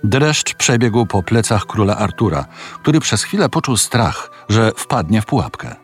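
Expressive male storyteller with lively intonation. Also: lowish voice, unhurried, faint music behind. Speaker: narrator. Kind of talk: scripted fairy-tale storytelling.

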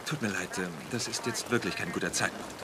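A man's voice: lowish voice, fairly quick, natural voice. Guy acting shaken distracted man, tv drama dub, standard german, hesitant, super noisy outside. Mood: shaken, apologetic. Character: adult man, preoccupied, shaken bearer of bad news. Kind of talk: dubbed TV drama dialogue. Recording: very loud outdoor ambient noise.

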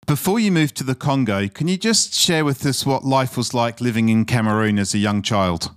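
A man's voice: mid-range voice, lively intonation, normal speed, smooth. A man hosting an interview podcast, giving scripted introductions and putting questions to a guest.

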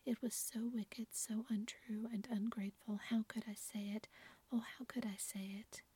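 Anxious young wife, breathy whisper, flat delivery, as a woman reads her. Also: fairly high pitch, normal speed, no room sound. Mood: remorseful. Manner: whispered throughout.

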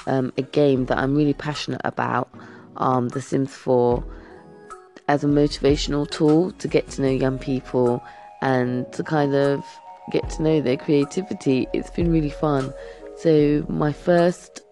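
A young adult British youth worker chatting casually to camera, croaky from just waking up, earnest and full of fillers. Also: mid-range voice, fairly even intonation, a little slow, mellow, faint music behind. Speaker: youth worker and gaming vlogger.

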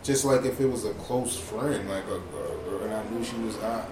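A man in his thirties co-hosting a casual chat, teasing and animated. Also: fairly low pitch, normal speed. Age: 30s. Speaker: podcast co-host. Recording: roomy room, faint hiss.

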